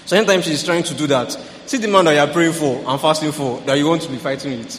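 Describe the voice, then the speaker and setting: A young man sharing about his family in a relaxed, halting way with fillers. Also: fairly high pitch, a little hiss or hum. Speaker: panel participant. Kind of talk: casual sharing. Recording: echoey hall with faint ambience.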